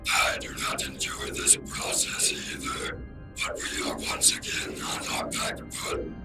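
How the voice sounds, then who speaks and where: A man's voice: slow pace, reverberant, far from the mic, over loud music. A man voicing a cold, grim robot military commander in a comic dub, with a metallic filtered voice and grave, declaiming delivery. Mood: grim displeasure. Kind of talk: comic dub character acting.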